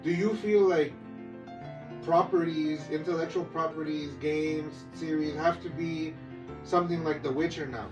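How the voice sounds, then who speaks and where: A man's voice: fairly high pitch, steady tone, a little slow. A man co-hosting a podcast in casual, slangy chat about movies and games, with plenty of swearing. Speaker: podcast co-host. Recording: roomy, distant mic with music behind.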